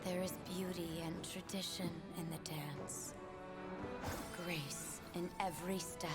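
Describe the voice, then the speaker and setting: An actress voicing a proud, resolute young warrior woman in a video game, solemn and commanding, with measured delivery. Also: on the low side, fairly even intonation. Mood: solemn, grave. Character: proud, resolute young woman warrior, blade dancer defending her homeland. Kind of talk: game champion voice lines and trailer narration. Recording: reverberant game audio over music.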